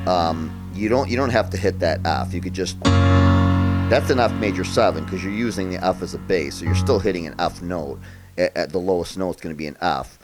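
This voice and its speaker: A man from upstate New York giving a relaxed, chatty piano lesson, thinking out loud as he plays by ear. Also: low voice, normal speed. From Rochester area, New York, USA. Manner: relaxed and chatty, thinks aloud while playing. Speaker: piano tutor. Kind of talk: casual play-by-ear piano tutorial. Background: loud piano playing.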